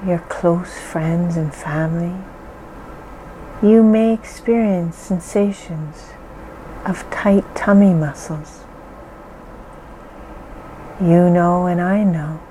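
Woman, guided-meditation guide: very slow, mellow, reassuring, faint hiss behind. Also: lowish voice, rough recording. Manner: soothing, reassuring, deliberate pauses.

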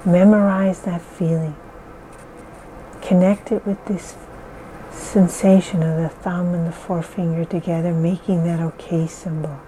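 A woman leading a guided relaxation in slow, soothing, repetitive phrases. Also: fairly low pitch, flat delivery, mellow, a little hiss or hum, poor audio. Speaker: meditation guide.

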